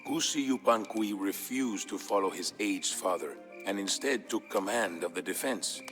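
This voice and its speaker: A man reading a scripted historical tale as a storyteller, in a measured, solemn manner. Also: lowish voice, a bit bright, soft background music. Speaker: narrator of a game campaign storyline. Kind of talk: scripted dramatic storytelling narration.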